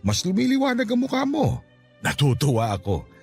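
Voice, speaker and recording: A man's voice: high-pitched, wildly swooping pitch, moderate pace, soft background music, heavily acted. A man playing a doting, teasing middle-aged Filipino father and husband in a Tagalog radio drama. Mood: tender. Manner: teasing, sweet-talking.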